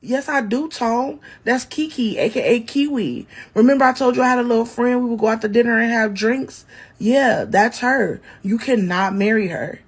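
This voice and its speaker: A jilted wife from a dramatic relationship story, acted out by a woman narrator. She is bossy and pleading, with a husky voice. She argues in emphatic, colloquial speech and refuses to back down. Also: on the high side, moderate pace. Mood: insistent.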